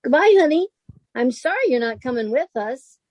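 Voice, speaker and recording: Casting: actress as practical, brisk young roommate, 1940s radio comedy; mellow tone, lively melody, dry recording. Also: female voice, high voice, no room sound. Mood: coaxing. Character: sensible, practical young woman, bossy but affectionate roommate.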